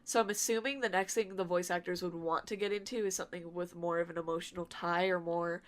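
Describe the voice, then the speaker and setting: A talkative woman speaking casually as a guest on a podcast, quick to laugh and explaining at length. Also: lowish voice, fairly even intonation. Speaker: podcast guest from a fan dubbing group.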